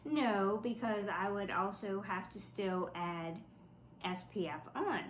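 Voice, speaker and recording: A woman's voice: mid-range voice, slow, roomy sound, a little hiss or hum, poor audio. Stuffy-voiced woman reviewing makeup. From South Louisiana. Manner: wry, skeptical, reading product claims aloud. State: stuffy from flu. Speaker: beauty vlogger. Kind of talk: casual product review.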